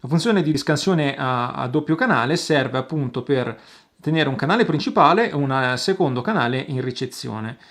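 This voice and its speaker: A man hosting an outdoor gear review, explaining casually to viewers with halting pauses and repeated words. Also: medium pitch, lively intonation, a bit bright. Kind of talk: casual product demonstration to viewers.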